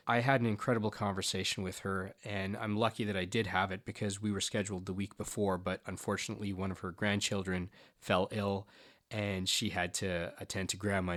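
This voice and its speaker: A man's voice: low-pitched, a bit bright. A man hosting a podcast, introducing his guest and asking questions with enthusiasm and a few stumbles. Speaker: podcast host.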